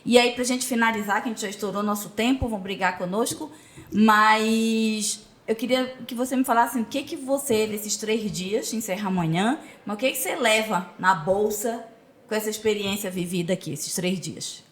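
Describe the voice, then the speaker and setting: Woman hosting, mid pitch, affirming and thankful. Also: normal speed. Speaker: podcast host. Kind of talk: interview wrap-up.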